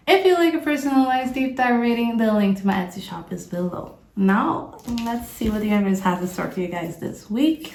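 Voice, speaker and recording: Casual female tarot reader from Brazil, mid-pitched, chatty, recorded in an echoey room. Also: darkish tone. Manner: chatty, upbeat, many "like" fillers. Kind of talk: casual tarot reading to camera. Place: sunny room with plants. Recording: echoey room, faint noise.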